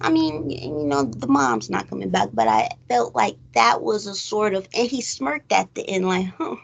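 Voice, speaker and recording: A woman hosting a recap show, chatting casually about a film in an animated way, throwing out rhetorical questions. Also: medium pitch, moderate pace, vocal fry, husky. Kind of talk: casual chat movie review.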